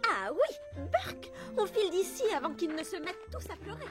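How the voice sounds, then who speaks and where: A woman playing a spirited, friendly girl horse rider in a French cartoon dub, chatty and lively. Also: very high voice, moderate pace, over music. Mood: wry.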